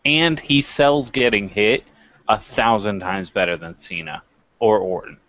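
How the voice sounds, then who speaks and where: A man's voice: lowish voice, measured pace, a bit bright, no echo, highs cut off. A man debating casually as a review co-host, insistent and sweary, repeating his points.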